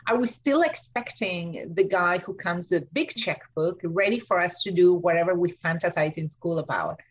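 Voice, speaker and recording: A woman's voice: lowish voice, animated, normal speed, breathy edge, dull audio. A woman from Argentina with an Argentine Spanish accent, an architect being interviewed on a podcast, talking things through with many fillers and restarts. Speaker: architect and author. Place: home studio in Washington DC.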